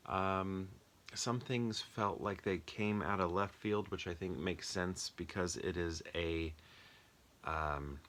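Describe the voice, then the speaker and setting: A man giving a casual, off-the-cuff film review, rambling and often pausing to search for words. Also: deep voice, fairly even intonation, a bit bright.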